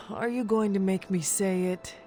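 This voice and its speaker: A woman's voice: mid-range voice, fairly even intonation, measured pace, dark tone, natural voice. A woman playing a guarded, skeptical young warrior in a video game, dry and blunt. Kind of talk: video game cutscene acting. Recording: music behind the voice.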